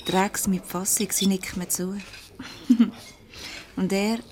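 Actress as a gossipy Swiss German secretary, noisy recording. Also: female voice, medium pitch, steady tone, takes it slowly, darkish tone, close to an everyday voice. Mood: flustered, jealous. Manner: chatty, insinuating, pointed. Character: gossipy, sharp-tongued, jealous young female office secretary. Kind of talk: light radio comedy play acting. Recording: noisy 1970s radio play recording.

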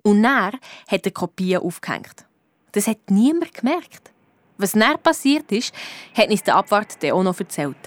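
An actress with a Swiss German accent playing a clever, cheeky amateur detective who narrates a children's radio play. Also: female voice, mid-range voice, very animated, moderate pace, dry recording, natural voice. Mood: narrating, pleased. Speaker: lead detective and narrator in a children's audio drama.

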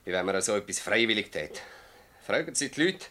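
A man's voice: on the low side, expressive, fairly quick, sharp tone. A man playing a bitter, defiant master farmer in a radio drama, accusing and emphatic, with a Swiss German accent. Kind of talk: radio drama acting in dialect.

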